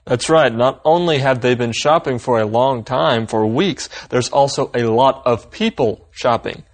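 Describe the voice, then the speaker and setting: A man leading a scripted language lesson, guiding the others with even questions. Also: mid-range voice, measured pace.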